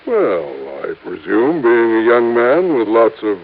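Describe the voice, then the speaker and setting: Courteous store owner, tinny radio. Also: male voice, medium pitch, big pitch swings, measured pace, creaky. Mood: puzzled. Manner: formal, courteous. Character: polite, unsuspecting wealthy male store owner. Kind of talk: 1950s American radio drama acting. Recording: tinny, hissy old radio recording.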